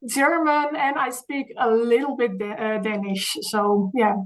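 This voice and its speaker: A woman with a Dutch accent, a native Dutch speaker, answering interview questions conversationally in halting, non-native English phrasing. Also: fairly high pitch, fairly even intonation. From Netherlands, Friesland. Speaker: interview guest, dairy marketing salesperson.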